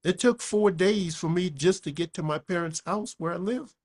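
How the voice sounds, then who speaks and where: A man's voice: high voice, moderate pace, darkish tone. A man in his seventies with Japanese as his first language, giving a dharma talk and answering questions, emphatic, repeating words and checking in with "okay?". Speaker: Buddhist teacher.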